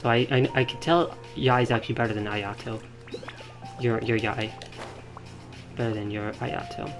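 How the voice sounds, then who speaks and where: A man streams a game with casual, rambling commentary. His voice is of middling pitch and he thinks aloud haltingly, with game music clearly playing behind him. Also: steady tone.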